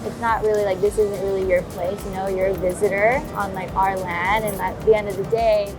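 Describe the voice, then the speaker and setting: A woman's voice: mid-range voice, flat delivery, brisk. A young woman from Waikiki, a professional surfer, talking earnestly in an interview and filling her speech with "you know" and "like". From Hawaii, Waikiki. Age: young adult. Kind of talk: interview talk. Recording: music bed underneath.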